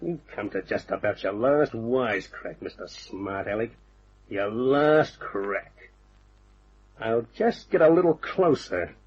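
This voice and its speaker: A man's voice: on the low side, lively intonation, husky, exaggerated voice. An actor playing a bitter, vengeful ex-convict gangster who taunts and drags out his threats in an old-time radio crime drama. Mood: bitter menace. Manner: menacing, drawn-out, taunting. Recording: muffled, phone-like old radio recording.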